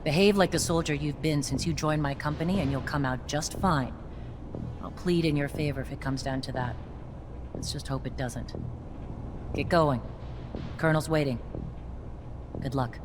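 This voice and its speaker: A low female voice, measured and commanding, an actress playing a stern yet loyal army captain. It is video game drama, with clear ambient noise behind her. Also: close to an everyday voice. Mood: grave, supportive. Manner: measured, authoritative.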